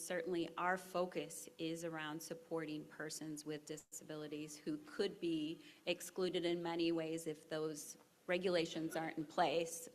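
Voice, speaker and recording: Social worker, female, very low voice, measured, faint room noise. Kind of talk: panel discussion.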